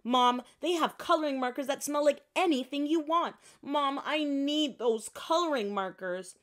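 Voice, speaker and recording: A woman's voice: sits high, unhurried, pitched above the performer's own voice. A woman voicing an eager, pleading young girl in a children's storybook, with an insistent, dramatic manner. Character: eager, pleading young schoolgirl who loves markers.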